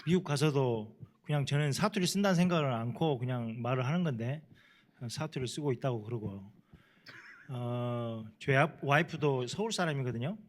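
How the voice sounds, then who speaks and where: A middle-aged man with a thick Jeolla dialect talks in a plain, unpolished way, poking fun at himself as he tells stories. He chuckles often and keeps the tone easygoing and candid. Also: mid-range voice. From South Korea. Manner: plain-spoken, self-deprecating, chuckles often. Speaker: missionary. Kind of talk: panel talk, casual storytelling.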